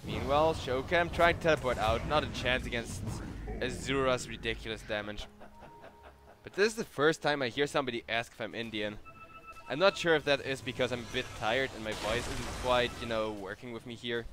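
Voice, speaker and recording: A man's voice: medium pitch, a little fast. Tired young German man casting a game. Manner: chatty, tired, answers chat between plays. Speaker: esports caster. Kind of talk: solo live game commentary.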